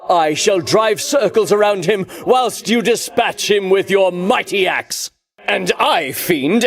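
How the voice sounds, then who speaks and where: A man's voice: high voice, exaggerated voice. An actor voicing a pompous, boastful dead Greek hero-king for a video game, with ringing, declamatory delivery and grandiose archaic diction. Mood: haughty boasting. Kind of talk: theatrical video game voice acting.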